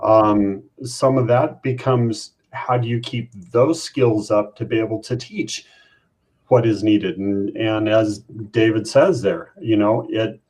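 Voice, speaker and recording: A man co-hosting a panel conversation, thoughtful and hesitant, pausing with many fillers. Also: fairly low pitch, a little mellow, low-quality recording. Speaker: co-host, college IT lead.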